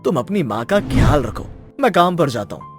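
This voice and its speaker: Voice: medium pitch, very animated, moderate pace, soft background music, close to an everyday voice. A performer voicing Pradeep, a sneaky young husband who keeps three wives secret from one another and wriggles out of every tight spot, in a cartoon story. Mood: soothing. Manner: sneaky, talks his way out of trouble. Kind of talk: cartoon voice acting.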